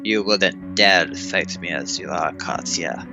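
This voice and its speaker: A man playing a proud, menacing vampire villain in an audio drama, speaking formal, measured threats. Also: sits low, dull audio, music in the background. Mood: coldly menacing.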